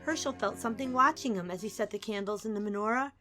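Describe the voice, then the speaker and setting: A woman's voice: medium pitch, quick pace, sharp tone, soft background music. A woman narrating a children's story as a dramatic storybook reading.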